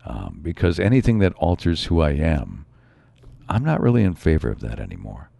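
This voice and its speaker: A man hosting his own show in a rambling solo monologue, his voice a bit raspy from a slight cold. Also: a bass voice, huge pitch swings, normal speed, warm tone, no echo. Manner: rambling, musing, frequent asides. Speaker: show host.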